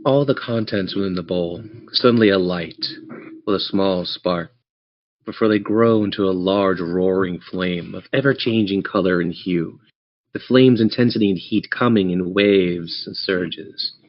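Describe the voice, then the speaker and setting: A man with a fairly low voice, heard through slightly muffled lo-fi audio. He is a game master narrating a tabletop adventure, setting scenes with measured, theatrical storytelling. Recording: dull lo-fi audio.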